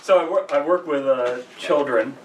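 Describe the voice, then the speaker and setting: A man co-hosting a podcast, telling rambling casual anecdotes and repeating himself haltingly. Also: a little high, distant mic, poor audio. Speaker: podcast co-host. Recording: slightly off-mic with faint noise.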